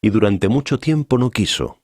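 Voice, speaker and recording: An actor playing a solemn, authoritative male teacher in a dramatized scripture reading, speaking in a measured, didactic way. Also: fairly low pitch, lively intonation, darkish tone, no room sound, studio quality. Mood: solemn teaching.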